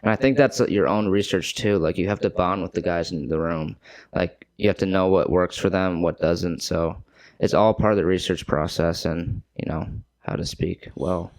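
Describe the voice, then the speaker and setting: A young man co-hosting a podcast as a hockey player, chatting in a relaxed, agreeable way and saying "like" often. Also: low-pitched, normal speed, dry recording. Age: young adult. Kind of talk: casual podcast chat.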